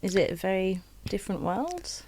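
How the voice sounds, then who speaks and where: A woman's voice: mid-range voice, big pitch swings, moderate pace, a little mellow, breathy edge, no room sound. A woman hosting a casual interview, chiming in with supportive, slangy exclamations. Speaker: host.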